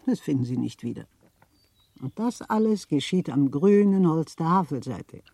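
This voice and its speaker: An actress playing a worldly, gossipy middle-aged widow of a Berlin official in a German radio play, theatrical, insinuating and chatty. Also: female voice, low-pitched, lively intonation, moderate pace, creaky, dark tone, no room sound, full character voice. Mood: indignant, relishing. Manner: theatrical, insinuating, chatty, repeats words for emphasis.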